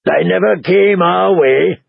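A man voicing a gruff, impatient outlaw who speaks curtly. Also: high-pitched, slow pace, dry recording, narrow, tinny audio, voice pushed up, full character voice.

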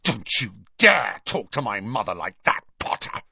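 A man's voice: fairly low pitch, wildly swooping pitch, a little slow, a bit bright, no room sound, muffled recording, exaggerated voice. A man voicing a haughty, spiteful teenage boy with a sneering drawl, in an audiobook story. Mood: angry.

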